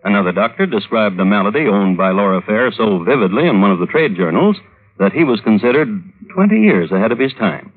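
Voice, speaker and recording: A low-voiced, droll male storyteller in a vintage radio drama. He narrates scandal with mock solemnity and very varied intonation. The sound is narrow and muffled, like an old broadcast. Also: very animated, brisk. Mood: droll, dry.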